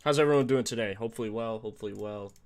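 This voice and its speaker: A young man with a slightly raspy, sore-throated voice, hosting a casual live stream, thinking aloud and greeting viewers in the chat. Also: on the low side, very fast. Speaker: sports betting stream host.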